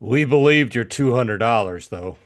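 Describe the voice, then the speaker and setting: A man's voice: medium pitch, expressive, normal speed, close to an everyday voice. A man playing a cool, cynical private detective in an audiobook, speaking in a blunt, sardonic, matter-of-fact way. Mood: dry, cynical. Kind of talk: audiobook character acting.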